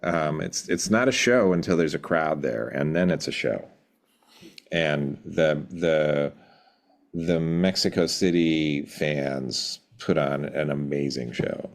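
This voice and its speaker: A middle-aged man with an extremely low voice speaks as an interview guest. He tells stories reflectively, often pausing to search for the right words. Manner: thoughtful, measured, pausing to find words. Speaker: podcast guest, rock band drummer.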